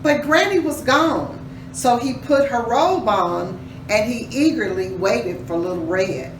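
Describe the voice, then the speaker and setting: A woman reading a children's story aloud in an expressive, teacherly way, pausing to put questions to her listeners. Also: a little high. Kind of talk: dramatic read-aloud with questions to young listeners. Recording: roomy echo, distant mic, faint noise.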